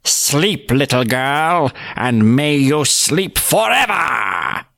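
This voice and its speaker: A man voicing a sly, cold-hearted winter witch in a storybook, commanding and deliberate. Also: medium pitch, very animated, vocal fry, a bit bright, exaggerated voice. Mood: sinister delight. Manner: slow, deliberate, scheming.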